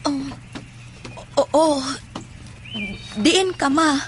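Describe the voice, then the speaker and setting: Woman playing a very high-pitched puzzled schoolgirl. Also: extremely slow, slight background noise. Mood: questioning. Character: young schoolgirl, puzzled, talking to mother and friend. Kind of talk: horror audio drama acting.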